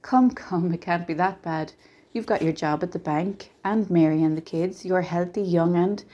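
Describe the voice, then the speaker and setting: A little stranger with a peddler's patter, voiced by a woman reading a story. He is kindly and mysterious, coaxing with patient good cheer in a soft, mellow tone. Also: on the low side, moderate pace, highs cut off, natural voice. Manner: patient, coaxing, brightly reassuring.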